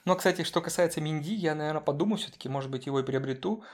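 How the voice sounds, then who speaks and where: A man's voice: fairly high pitch, very fast. A man co-hosting a casual football podcast, talking with many fillers and restarts. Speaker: podcast co-host.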